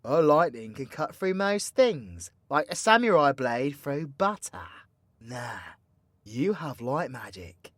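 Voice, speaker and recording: A man's voice: on the high side, fairly bright tone, no room sound. A British man playing a cocky, foul-mouthed supervillain in an audio roleplay, talking in a slangy, mocking, theatrical way to an ally. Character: cocky, foul-mouthed male supervillain plotting mayhem, teasingly mentoring ally. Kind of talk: villain roleplay audio drama, intimate monologue to listener.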